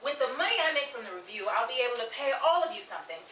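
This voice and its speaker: A woman playing an upbeat, ambitious performer in an old-time radio style comedy, theatrical and quick with comebacks. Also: a little high, very animated, sharp tone. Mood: lively. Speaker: lead actor and show announcer. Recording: echoey live room, distant mic, audience noise.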